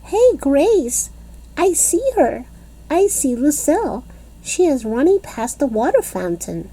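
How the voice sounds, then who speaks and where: A woman voicing a bossy, excitable little kindergarten girl who talks loudly with childish grammar. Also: high-pitched, slow, voice pushed up, exaggerated voice, low-quality recording. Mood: excited.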